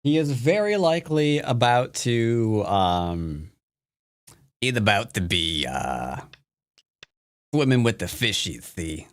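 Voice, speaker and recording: A man's voice: lowish voice, big pitch swings, takes it slowly, long pauses. A man from the United States commenting live on his own Go game, playful and teasing toward his opponent. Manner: playful trash talk. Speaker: streamer and Go teacher.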